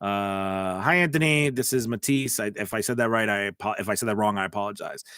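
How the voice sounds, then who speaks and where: A man's voice: lowish voice, brisk, a bit bright, clean tone, no room sound, studio quality. An American man hosting a live stream, giving voice-over business tips and answering chat questions in a loose, talkative way with many restarts. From United States.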